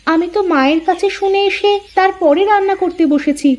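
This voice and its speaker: A woman's voice: very high voice, fairly quick. A woman voicing a dutiful young village daughter-in-law who stands her ground, in a Bengali cartoon story. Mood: defensive.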